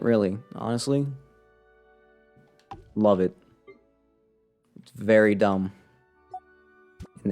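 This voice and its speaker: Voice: low voice, warm tone. A game streamer giving casual live commentary in a playful, dryly sarcastic way.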